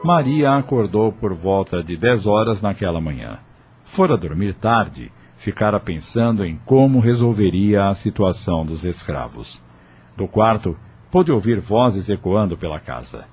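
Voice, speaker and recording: A man's voice: lowish voice, very animated, measured pace, darkish tone, slightly rough. A man with a Brazilian accent narrating an audio novel in a scripted storytelling style.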